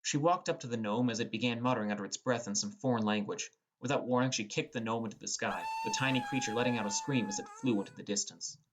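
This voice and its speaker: A man's voice: medium pitch, wildly swooping pitch, fast talker, hardly any pauses. A man reading first-person scripted narration of a fantasy story as an audiobook narrator.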